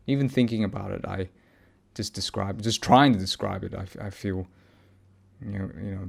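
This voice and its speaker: Man, low-pitched, creaky gravelly voice, introspective solo monologue, hesitant, searching phrasing. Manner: halting, searching for words, often pausing mid-thought. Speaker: podcast host. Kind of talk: reflective personal audio log monologue.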